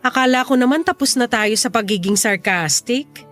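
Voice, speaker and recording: An actress playing a frank, reasonable neighbor woman in a radio drama, pleading with gentle reproach. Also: fairly high pitch, normal speed, soft background music, close to an everyday voice. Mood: exasperated. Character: frank, reasonable female neighbor, earnest and exasperated.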